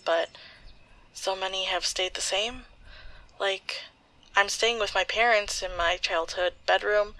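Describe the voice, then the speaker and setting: A woman playing a grieving, bitter young woman in a halting, intimate audio drama monologue. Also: medium pitch, bright, forceful tone, dry recording, poor audio. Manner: halting, hesitant, slow. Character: grieving young woman, bitter, leaving voicemail for lost close friend.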